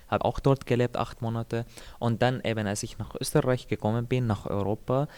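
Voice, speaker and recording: A young man from Syria, Arabic his first language, answers interview questions about his own life. His voice sits low and even. He talks in a calm, measured way, pausing and repeating words as he searches. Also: clear, resonant tone. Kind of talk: calm personal account.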